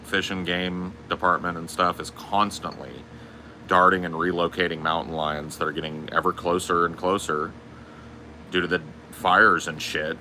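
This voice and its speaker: A man with a booming voice, telling stories in a casual, profane podcast chat. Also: sharp tone, a little hiss or hum, rough recording. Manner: profane, storytelling. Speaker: podcast host.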